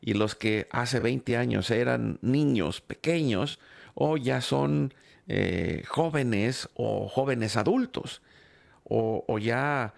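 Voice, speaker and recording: A man's voice: medium pitch, slow, creaky. A man hosting a faith program, telling a personal story in a reflective, hesitant way with many fillers and restarts.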